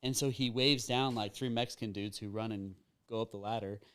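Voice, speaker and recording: A man's voice: on the low side, fairly even intonation, fairly quick, fairly bright tone, clean tone. A man telling a rambling story in casual chat among friends on a podcast, often laughing as he talks. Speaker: podcast guest.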